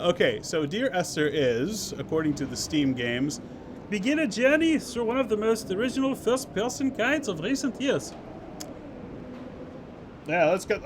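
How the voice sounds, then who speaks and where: A man hosting a casual game stream, chatting playfully with viewers and often interrupting himself. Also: fairly high pitch. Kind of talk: casual live stream chat. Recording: clearly noisy background.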